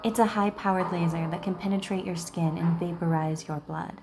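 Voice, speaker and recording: A woman hosting a gaming video, reading game dialogue aloud and adding salty, expressive commentary. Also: on the low side, fairly quick, noisy background. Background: game music.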